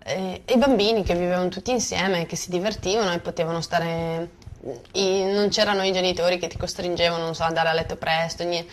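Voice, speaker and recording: A woman's voice: lowish voice, steady tone, quick pace. A woman from Bosnia, a native Bosnian speaker, giving spontaneous personal testimony and hesitantly searching for words. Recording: faint background noise.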